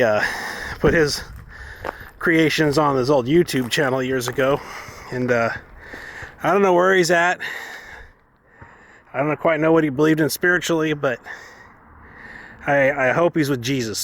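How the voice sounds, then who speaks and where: A man telling a story in a casual, rambling, conversational way, as a vlogger out on a walk. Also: mid-range voice. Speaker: vlogger, former online knife maker. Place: outdoors, walking dogs in an urban area. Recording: outdoor ambience and traffic noise. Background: faint outdoor and vehicle noise.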